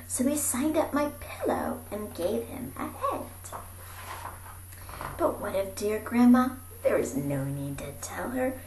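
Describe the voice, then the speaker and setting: A woman reading a rhyming picture book aloud in a theatrical, animated way, moving between hushed and projected delivery. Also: medium pitch. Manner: theatrical, dropping into breathy whispers and calling out. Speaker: amateur storybook reader. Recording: roomy echo with faint background noise.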